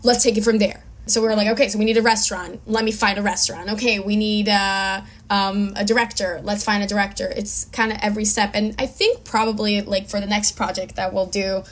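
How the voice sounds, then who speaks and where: A woman's voice: mid-range voice, flat delivery, fairly quick, hardly any pauses. A young woman from Israel talking casually as a filmmaker guest in an interview.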